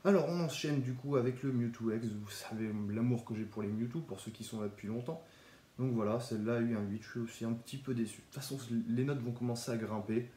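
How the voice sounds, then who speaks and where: Relaxed young man with a cold. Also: mid-range voice, fast talker, reverberant. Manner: relaxed, chatty, with small restarts. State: has a cold, stuffy. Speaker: Pokémon card collector YouTuber. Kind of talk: casual card showcase vlog.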